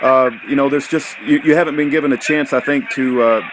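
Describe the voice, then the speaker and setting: A man conducting a casual, supportive phone interview, affirming the caller and pausing often as he phrases his thoughts. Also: mid-range voice, fairly even intonation. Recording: faintly noisy phone call recording.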